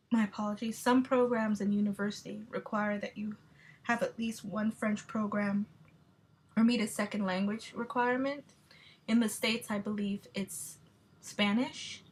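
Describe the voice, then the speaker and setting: A woman giving a measured, explanatory class presentation, with the odd filler. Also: mid-range voice. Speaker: student presenter.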